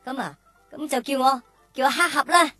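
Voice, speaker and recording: A woman's voice: high voice, a little fast, bright, forceful tone, dry recording, faint music behind. A woman voicing a cheeky, whiny young male cow in a children's radio drama, with exaggerated delivery. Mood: cheeky, eager.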